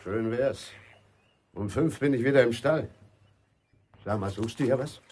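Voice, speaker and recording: A man playing a grumpy, secretive farm worker in a radio play, speaking in gruff, impatient, curt phrases. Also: medium pitch, unhurried, a little mellow, a little hiss or hum, close to an everyday voice. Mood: irritated, evasive. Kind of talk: naturalistic radio drama dialogue.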